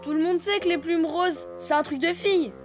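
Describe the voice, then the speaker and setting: A woman's voice: extremely high pitch, normal speed, over music. A woman voicing a bossy, scornful little elephant boy in a children's cartoon, with a dismissive swagger. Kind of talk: French children's cartoon dubbing.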